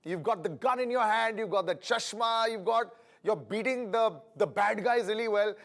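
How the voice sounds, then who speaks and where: A middle-aged male host interviews a film guest in a relaxed, chatty way. His voice sits high for a man, and his questions wander on with fillers before landing. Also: moderate pace. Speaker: entertainment interviewer.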